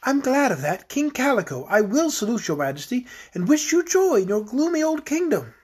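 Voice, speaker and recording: A man's voice: extremely high pitch, sing-song, moderate pace, a little mellow, husky, pitched above the performer's own voice, exaggerated voice. A man voicing an eager, kind-hearted little girl in a children's storybook reading. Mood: joyful. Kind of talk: storybook character voice.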